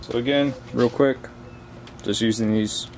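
A man's voice: mid-range voice, steady tone, unhurried. A man in his twenties talking casually through a how-to job as a DIY video host, recorded outdoors on a camera mic. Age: 20s. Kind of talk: casual how-to vlog talk. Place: outdoors beside a shed. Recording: clearly noisy outdoor ambient hum.

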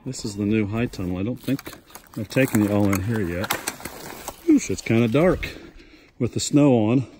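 Relaxed middle-aged man touring a snowy farm. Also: lowish voice, moderate pace, pauses often, background noise. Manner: relaxed, folksy, chatty. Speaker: farmer giving a tour. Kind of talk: casual walking tour commentary. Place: outdoors on a snowy farm and woods.

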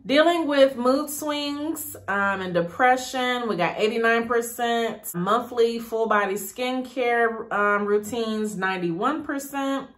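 A woman in her thirties speaks straight to her viewers in a relaxed vlog. She sounds upbeat and encouraging, like a big sister cheering others on. She often repeats words for emphasis. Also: a little high, slow, hardly any pauses. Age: 30s. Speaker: YouTube vlogger. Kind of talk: casual encouraging vlog talk.

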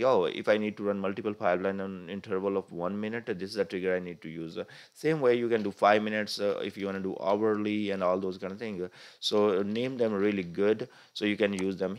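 Male tutorial narrator with a low voice, explains each click plainly and fills pauses with "uh". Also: clean tone. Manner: frequent "uh" fillers, step-by-step explaining. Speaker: tutorial instructor. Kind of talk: screen-recorded software tutorial. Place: voice-over recorded over the screen capture.

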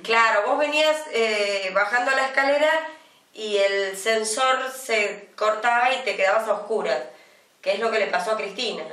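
A woman in her fifties with an Argentine accent, co-hosting a casual studio chat show and talking chattily with many drawn-out pauses. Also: medium pitch, a bit bright, far from the mic. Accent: Argentine Spanish. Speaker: co-host. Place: small TV studio, indoors. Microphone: desk microphone, some distance away. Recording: roomy studio sound from off-mic.

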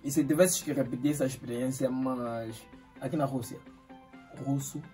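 A man's voice: medium pitch, fairly even intonation, normal speed, mellow, soft background music. A young man, asking a friend casual, prodding questions in slangy speech. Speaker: interviewer friend. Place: indoor room, flag backdrop.

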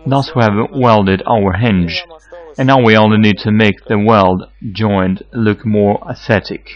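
A man in his forties giving a measured, scripted how-to explanation as a technical consultant. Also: fairly low pitch, steady tone, dull audio. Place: electronics workshop, indoors.

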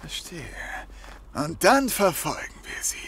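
Man acting a husky, subservient henchman. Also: sits high, big pitch swings, a little slow, a bit bright, heavily acted. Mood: eager, obedient. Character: loyal, slow-witted male henchman, eager to please boss. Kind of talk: German audio drama acting.

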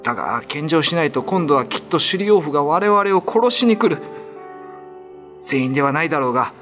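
An actor playing an earnest, heavy-hearted village husband, anguished and resigned, in a ghost-story radio drama. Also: male voice, on the high side, lively intonation, fairly quick, fairly bright tone, faint music behind. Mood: grim, distressed.

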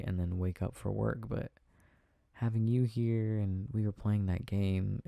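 A man playing an affectionate, tired boyfriend in an intimate ASMR roleplay, chatting softly and hesitantly with a playful, teasing manner. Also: fairly low pitch, vocal fry, no room sound, clean studio sound. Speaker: audio roleplay performer.

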